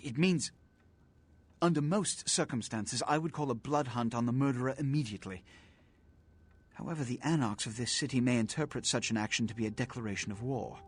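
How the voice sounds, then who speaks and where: An actor playing an imperious, calculating vampire prince in a video game, with a formal, pompous, commanding manner. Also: male voice, mid-range voice, brisk, husky, close to an everyday voice. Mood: stern, troubled. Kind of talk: theatrical video game voice acting.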